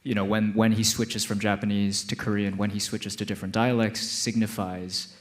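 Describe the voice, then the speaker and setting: An American man speaking as an actor guest in a live panel conversation on stage, animated and prone to restarts. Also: fairly low pitch, moderate pace. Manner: animated, laughing, many restarts. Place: stage before live audience.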